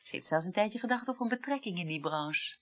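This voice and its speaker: A woman's voice: medium pitch, animated, fast talker, lightly acted. An actress playing a detached, wry female former reporter in a radio play, speaking articulately and dryly. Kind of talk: 1980s Dutch radio play acting.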